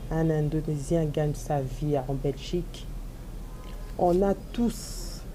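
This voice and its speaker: A woman's voice: low voice, dark tone, slight background noise, low-quality recording. A woman politician, making an emphatic rallying appeal in a TV interview and repeating her key phrases. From DR Congo. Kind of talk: televised interview statement.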